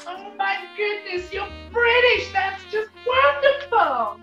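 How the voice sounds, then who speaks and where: Gushing, high-pitched American nightclub singer. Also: female voice, animated, slow pace, bright, forceful tone, echoey room, muffled recording, soft background music, heavily acted. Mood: excited, fawning. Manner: gushing, cooing, melodramatic. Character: gushing, theatrical young female nightclub singer, gangster's fiancée. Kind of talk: 1940s radio comedy acting.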